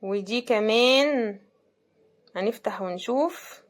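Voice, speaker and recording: Casting: Egyptian woman, fairly high voice, conversational unboxing host with a casual, thinking-aloud delivery. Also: slow pace. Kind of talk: casual running commentary while unboxing.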